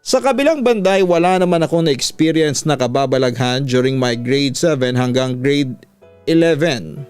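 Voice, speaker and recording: A man's voice: mid-range voice, expressive, normal speed, darkish tone, clear, resonant tone. A Filipino man, a radio host, narrating a listener's story in a conversational way, mixing in some English. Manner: conversational Tagalog-English code-switching. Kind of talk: scripted first-person story narration, reading a listener's letter. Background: background music.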